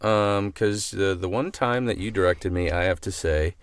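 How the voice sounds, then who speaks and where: A man with a deep voice hosts a relaxed, informal conversation. He speaks haltingly, thinking out loud, in a dry, close recording. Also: clear, resonant tone, no echo. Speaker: podcast host. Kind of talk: casual interview chat.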